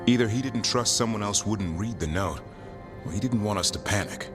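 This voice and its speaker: Man playing a very deep-voiced frank warrior. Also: fairly quick, music in the background, close to an everyday voice. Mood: wry. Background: game score.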